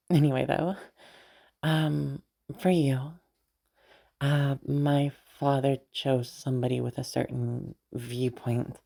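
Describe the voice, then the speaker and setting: A woman playing an affectionate, teasing young noblewoman in a roleplay audio drama, speaking haltingly and intimately to her knight. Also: a bass voice, vocal fry, full character voice. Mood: flustered, admiring. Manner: hesitant, halting, with soft chuckles and sighs.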